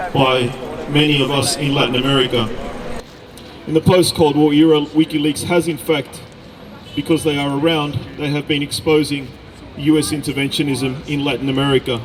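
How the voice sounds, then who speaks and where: A middle-aged man from Chile delivering a deliberate, emphatic political speech at an outdoor rally. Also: fairly high pitch, low-quality recording. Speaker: speaker at a rally, researcher. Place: outdoor public gathering in a city. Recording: outdoor rally with background noise.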